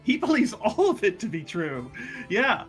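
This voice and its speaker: Man, tabletop game player, improvised banter, confident and rambling, soft music underneath. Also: high-pitched, sing-song, moderate pace. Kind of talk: improvised in-character banter and casual table talk.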